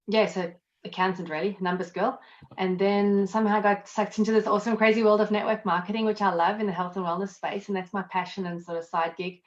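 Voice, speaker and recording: A woman with a South African accent, chatting casually as an interview guest, self-deprecating and quick to laugh. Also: lowish voice, highs cut off. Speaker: guest, accountant and network marketer.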